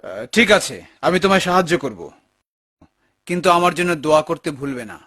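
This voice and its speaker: A man playing a pious, generous, patient garden partner in a cartoon, calm and gently admonishing. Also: a little high, brisk, very noisy, natural voice. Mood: devout, kind. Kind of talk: dubbed cartoon dialogue.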